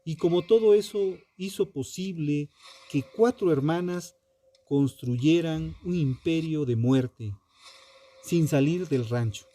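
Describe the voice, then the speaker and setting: A man reading a scripted true crime narration in a solemn, dramatic manner, in short phrases with emphatic pauses. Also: on the high side, mellow.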